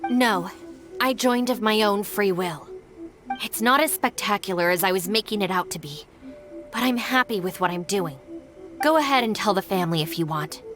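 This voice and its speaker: A woman playing a composed, stubborn young gang deputy in a video game, speaking in formal, measured phrases. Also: mid-range voice, animated, fairly bright tone, soft background music, close to an everyday voice. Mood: resolute. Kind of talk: scripted video game voice acting.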